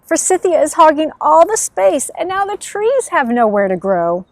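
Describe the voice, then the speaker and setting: A woman's voice: sits high, sing-song, moderate pace, darkish tone, no room sound, pitched above the performer's own voice. A woman voicing plaintive, indignant plants and animals complaining in a children's story.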